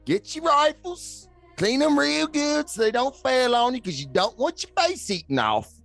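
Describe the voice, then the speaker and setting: A man's voice: extremely high pitch, very animated, normal speed, vocal fry, fairly bright tone, soft background music, heavily acted. A man playing a folksy, ranting backwoods preacher with a Southern American accent, giving a fiery parody sermon. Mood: fervent, rallying.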